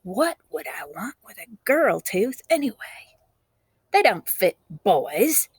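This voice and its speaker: An ornery little country boy from a storybook, played by a woman, calm and stubborn. He talks slowly in a folksy, matter-of-fact way, sure he is right. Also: medium pitch, slightly rough, no echo, clean studio sound, exaggerated voice. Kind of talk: children's storybook character voice.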